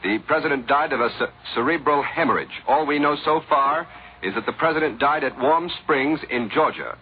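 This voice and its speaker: A man's voice: fairly high pitch, a little fast. Newsman on dull vintage radio. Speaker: radio news announcer. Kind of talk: 1940s radio news bulletin.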